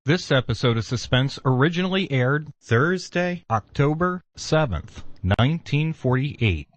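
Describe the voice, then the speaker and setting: Lively male station announcer. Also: on the high side, unhurried, dry recording. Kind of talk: scripted informational announcement.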